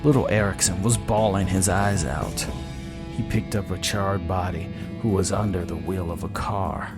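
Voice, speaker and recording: Male narrator, low register, scripted dramatic fiction read over prominent guitar music. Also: normal speed.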